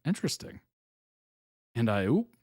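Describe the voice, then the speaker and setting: A man's voice: mid-range voice, sing-song, moderate pace, a little mellow, no echo, studio quality. A man hosting a live reaction video, reading captions aloud and adding amused, casual comments with frequent laughs. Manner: reads on-screen captions aloud, then reacts with amused asides; laughs often between remarks.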